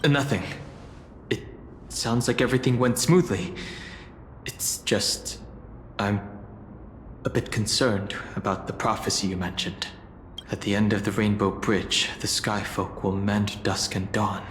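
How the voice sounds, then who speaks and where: A man playing a gentle, sensitive, anxious youth in a video game, soft-spoken and hesitant. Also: lowish voice, steady tone, unhurried, long pauses, a little hiss or hum, close to an everyday voice. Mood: worried, hesitant. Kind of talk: scripted video game voice acting. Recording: echoey hall reverb, faint music.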